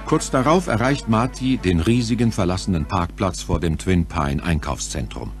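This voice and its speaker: A man's voice: sits low, animated, normal speed, soft background music. A man narrating a German audio drama from a script, telling the story to listeners.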